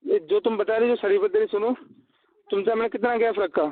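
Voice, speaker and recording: A man's voice: high voice, fairly even intonation, a little fast, dry recording. A man arguing on a recorded phone call with his wife, defending himself and insisting that she hear him out. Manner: defensive, keeps asking to be heard. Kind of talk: heated phone argument. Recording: dry, tinny recorded phone line.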